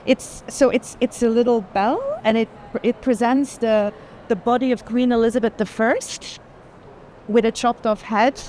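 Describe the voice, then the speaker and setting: Woman curator chatting at an art fair, kinda high voice, eager, faint noise behind. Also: steady tone, unhurried, a little mellow. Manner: enthusiastic, explanatory, frequent restarts. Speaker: chief curator. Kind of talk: walking gallery conversation.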